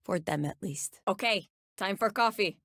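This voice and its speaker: An actress with a Turkish accent voicing a menacing, sardonic nightmare-wielding bounty hunter in a video game, speaking with cool, ominous deliberation. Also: female voice, mid-range voice, moderate pace, fairly bright tone, no room sound, studio quality, close to an everyday voice. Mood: ominous, measured. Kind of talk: video game character voice lines.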